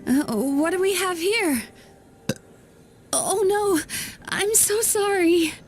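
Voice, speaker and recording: A woman voicing a gentle young healer of dreams in a game, speaking softly, calmly and politely. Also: very high-pitched, slow, soft background music, heavily acted. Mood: apologetic. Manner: soft-spoken, calm, polite. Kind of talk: game voice acting.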